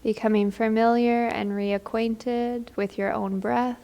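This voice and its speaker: A woman leading a guided meditation in a gentle, soothing, unhurried manner. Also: on the high side, level tone.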